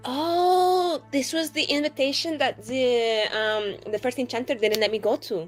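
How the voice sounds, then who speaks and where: A woman's voice: high-pitched, steady tone, a little slow. A woman playing a tabletop roleplaying game, improvising casually in a playful, rambling, joking way. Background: music behind the voice.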